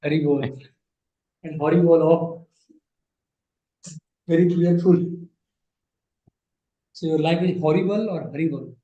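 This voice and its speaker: A man teaching Sanskrit grammar in an online class, patient and repeating phrases slowly, his English not his first language. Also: a little high, reverberant, phone-quality sound.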